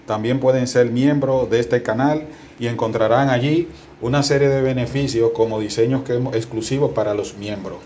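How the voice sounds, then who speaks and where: A man's voice: mid-range voice, steady tone, moderate pace, mellow. A man hosting a DIY channel, speaking informally and directly to the viewer as he explains in a tutorial style. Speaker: host of a DIY antenna channel. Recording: clearly noisy home-made phone recording.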